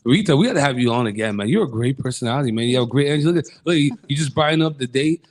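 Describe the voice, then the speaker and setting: A man's voice: medium pitch, expressive, rapid-fire. A young man hosting a casual talk show, playful and slangy, joking with his guest. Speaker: host.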